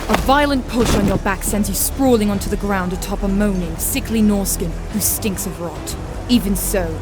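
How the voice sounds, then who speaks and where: A woman narrates a grim fantasy audio play with vivid, serious delivery. Her pitch sits in a middle range, with soft music running underneath.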